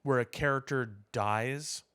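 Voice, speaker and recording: A man's voice: mid-range voice, unhurried, bright, forceful tone, clear, resonant tone. A man co-hosting a podcast, casually analysing pop culture in a wordy, explanatory way. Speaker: podcast co-host.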